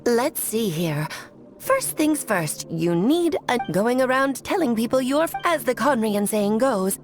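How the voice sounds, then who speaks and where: Animated, shrewd talker. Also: female voice, on the high side, a little slow. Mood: breezy, knowing. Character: breezy, shrewd, talkative woman. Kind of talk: video game voice acting. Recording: music behind the voice.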